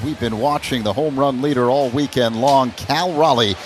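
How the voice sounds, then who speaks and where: A man's voice: medium pitch, normal speed, loud music behind the voice. A man presenting a conversational segment on a baseball radio broadcast.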